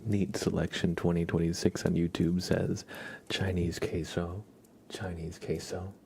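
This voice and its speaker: A man hosting an ASMR livestream, chatting softly and gently and often slipping into whispers. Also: sits low, flat delivery, a little slow, creaky, background noise. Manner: gentle, hushed, drifting into whispers. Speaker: livestream host.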